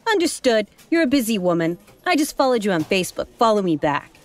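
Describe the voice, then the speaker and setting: An actress playing a brash, self-important aspiring author in a comedy audio drama, bossy and pushy. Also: female voice, mid-range voice, big pitch swings, quick pace, close to an everyday voice.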